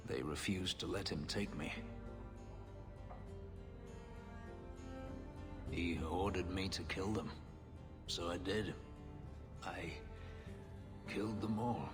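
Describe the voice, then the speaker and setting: A very low, brooding voice of an escaped slave in a fantasy game. He speaks somberly, in measured and halting phrases, with music clearly playing beneath him. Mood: haunted regret. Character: brooding male former slave recounting a painful escape. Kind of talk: dramatic video game voice acting. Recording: game dialogue with music underneath.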